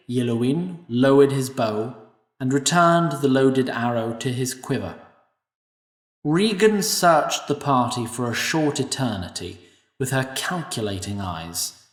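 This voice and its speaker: A man narrating an audio drama in an expressive storytelling manner. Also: mid-range voice. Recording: echoey room, distant mic.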